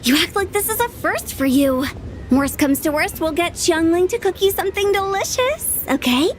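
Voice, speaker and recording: A woman's voice: very high-pitched, lively intonation, moderate pace. A woman voicing a mischievous, teasing young woman in a video game cutscene. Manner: teasing, impish. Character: playful young woman funeral director, mischievous. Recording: game audio with music behind.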